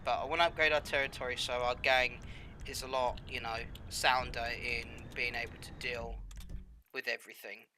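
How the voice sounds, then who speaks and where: A man with a slight cold talks through his gameplay in a loose, rambling way, stopping often for ums and you-knows. His voice is a little nasal and sits at a middle pitch. Steady game noise plays clearly under him. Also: moderate pace. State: has a cold, a bit nasal. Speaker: gameplay commentator. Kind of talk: casual gameplay commentary.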